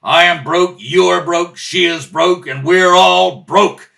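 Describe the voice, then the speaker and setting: A man playing a gruff, exasperated middle-aged father, blunt and emphatic, in radio-comedy style. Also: high voice, fairly even intonation, slow, bright, forceful tone, pitched above the performer's own voice, full character voice. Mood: exasperated, angry. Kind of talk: 1930s radio comedy acting. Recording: distant home kitchen-table recording.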